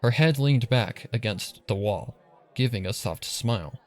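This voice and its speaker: A man's voice: mid-range voice, measured pace, a faint crowd. A man reading scripted dramatic story narration for a fan fiction.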